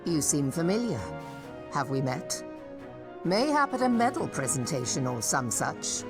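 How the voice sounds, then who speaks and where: Low, commanding female admiral. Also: very animated, a little slow, full character voice. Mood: probing, brisk. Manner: formal, authoritative, archaic diction. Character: commanding, gracious female admiral of a seafaring city. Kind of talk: fantasy game cutscene acting. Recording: music under the voice.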